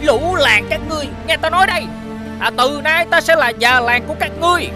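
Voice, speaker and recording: Cartoon villain: greedy blustering traitor, high swooping voice, music underneath. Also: male voice, expressive, a little fast. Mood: menacing. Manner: blustering, drawling, stammering. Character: greedy, bullying middle-aged male village traitor, boastful and fawning. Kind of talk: exaggerated animated villain acting.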